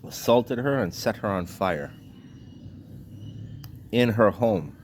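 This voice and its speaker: A man's voice: lowish voice, animated, slow pace, a little hiss or hum. A man from Trinidad and Tobago delivering a blunt, indignant vlog rant in short clipped sentences, swearing freely. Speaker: vlogger. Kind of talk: ranting monologue.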